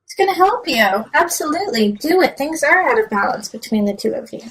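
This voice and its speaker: Female tarot reader, higher-pitched, conversational and encouraging, emphatic when advising the listener. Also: very animated, brisk, fairly bright tone, rough recording. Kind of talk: conversational tarot reading with advice.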